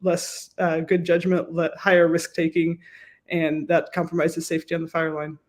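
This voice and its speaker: An American woman speaks as an academic guest in an interview. Her answers are measured and explanatory, often built from emphatic lists. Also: lowish voice, little pitch movement. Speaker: professor and researcher guest.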